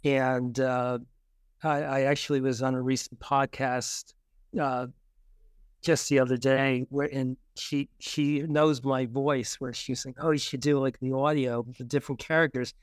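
Male author guest, conversational and halting, dry close sound. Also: mid-range voice, level tone, clear, resonant tone, no room sound. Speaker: children's book author, podcast guest. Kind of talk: casual interview conversation.

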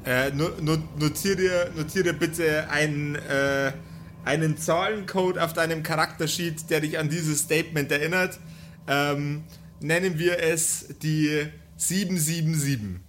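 A man improvising narration as game master of a tabletop audio game, with theatrical delivery and deliberate pauses. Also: fairly high pitch, a bit bright, slight background noise. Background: background music.

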